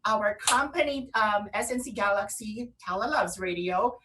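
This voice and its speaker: A woman with a Filipino accent co-hosting an online radio show, chatting casually and promotionally in an excitable, gushing way. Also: mid-range voice, normal speed, distant mic, poor audio. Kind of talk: casual promotional chat between co-hosts.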